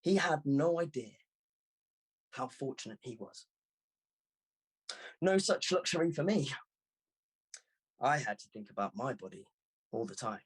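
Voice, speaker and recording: A man narrates a first-person novel as an audiobook reader. His voice sits at a medium pitch and has a husky texture. The reading is scripted and expressive. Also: unhurried, pauses often, rough recording.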